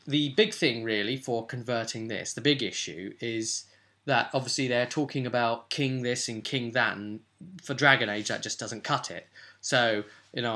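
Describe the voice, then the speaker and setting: A young man with a bright, forward voice at an average male pitch talks casually to a camera at his desk. He is a game master explaining things informally, wandering from one thought to the next as he thinks aloud. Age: young adult. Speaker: vlog host, tabletop game master. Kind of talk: casual vlog talk about a role-playing game. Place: small furnished room at home, indoors. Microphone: webcam or camera at desk distance.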